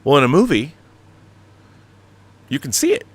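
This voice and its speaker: A man hosting a casual livestream, explaining things in a rambling, animated, slangy way, like a director talking shop. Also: a little high, moderate pace, husky, no room sound. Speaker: show host and audio drama director.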